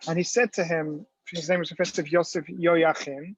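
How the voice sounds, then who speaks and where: A man's voice: on the high side, steady tone, moderate pace, no room sound. A man giving a storytelling lecture with slides, talking conversationally with many ums and restarts, in a dull, dry lo-fi recording.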